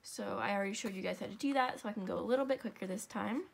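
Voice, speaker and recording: A woman's voice: mid-range voice, rapid-fire, vocal fry, slightly rough. Stuffy-nosed young woman explaining a loom craft step by step, chatty and rambly, fixes her own words a lot. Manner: chatty, rambling, self-correcting asides. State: congested, stuffy nose. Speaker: craft tutorial host.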